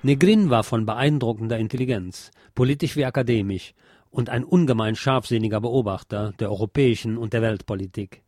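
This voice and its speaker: A man's voice: medium pitch, brisk, a little mellow, faint music behind. A man reading a history book aloud as scripted narration for a podcast.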